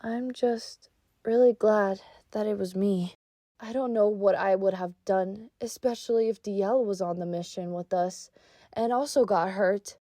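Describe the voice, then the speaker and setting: A woman voicing an insecure young fox creature in a comic dub, apologetic, confused and halting. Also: medium pitch, steady tone, mellow, dry recording, studio quality, close to an everyday voice. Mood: apologetic, downcast. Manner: hesitant, halting. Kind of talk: animated comic dub acting.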